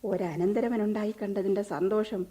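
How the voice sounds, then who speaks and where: A woman's voice: mid-range voice, steady tone, normal speed, warm tone, husky, natural voice. An actress playing a reproachful older mother in a radio drama, scolding emphatically in a southern Kerala Malayalam accent. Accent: Kerala Malayalam, southern Travancore. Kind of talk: mid-century Indian radio drama acting.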